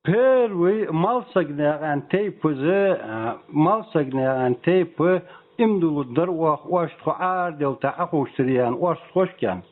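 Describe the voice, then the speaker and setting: High-voiced man on a tinny phone line. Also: lively intonation, slow pace. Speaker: phone caller questioning the other man. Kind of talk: informal phone argument. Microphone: telephone. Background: music.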